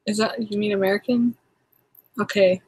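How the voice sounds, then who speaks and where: A woman's voice: medium pitch, fairly even intonation, moderate pace, darkish tone, slightly rough, dry recording, poor audio. A young woman from Toronto with a Canadian accent, casually chatting on a livestream, rambling with lots of fillers and restarts. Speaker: livestream host.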